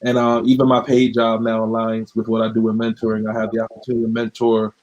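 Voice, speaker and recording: Flat-toned man on a video call. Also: fairly low pitch, quick pace, muffled recording. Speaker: guest mentor. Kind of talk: casual video call chat.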